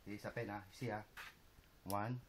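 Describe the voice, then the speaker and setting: A man's voice: fairly low pitch, steady tone, normal speed. A man from the Philippines with a Filipino accent, performing street magic for passersby in casual, repetitive broken English, coaxing his audience along. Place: outdoors on a city street. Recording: noisy street with traffic.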